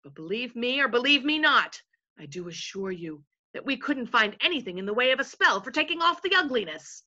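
An invisible servant chief from a storybook, acted out by a woman, full of folksy self-importance. The delivery rambles and digresses, with a very animated pitch and a bright edge. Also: brisk, highs cut off, full character voice. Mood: rambling, confiding.

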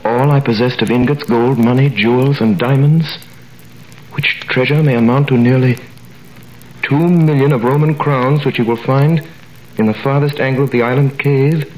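A man's voice: mid-range voice, steady tone, moderate pace, a little mellow, close to an everyday voice, rough recording. An actor playing a young prisoner who narrates his story in a 1930s radio drama, with earnest, dramatic delivery. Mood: amazed, earnest. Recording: old radio recording with faint hiss.

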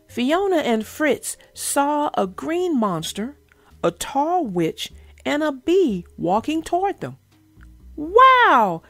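A woman narrating a storybook for children in an animated, expressive way. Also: high-pitched, slow, no room sound, faint music behind. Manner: expressive, addressing listeners directly. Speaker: children's read-aloud narrator.

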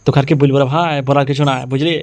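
A man's voice: medium pitch, steady tone, racing, darkish tone, close to an everyday voice. A man voicing a worried, poor village tea-stall keeper who complains wearily in an amateur cartoon. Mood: weary, aggrieved. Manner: complaining, repeats himself. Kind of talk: amateur funny cartoon dub. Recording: music behind the voice. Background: music.

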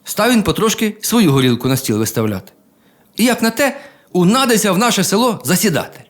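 A man telling a humorous folk tale in a colloquial, expressive, folksy manner. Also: sits high, a little fast. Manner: colloquial, expressive, with chuckles and exclamations. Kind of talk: folksy humorous oral storytelling. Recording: music behind the voice.

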